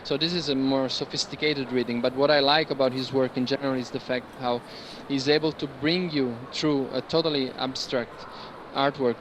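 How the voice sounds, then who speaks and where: A man's voice: medium pitch, steady tone, normal speed, few pauses. Man, informal art guide, explanatory, hesitant phrasing, noisy busy fair hall. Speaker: curator guiding an art fair tour. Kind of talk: informal explanatory talk on artworks. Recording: clear background noise, busy fair hall.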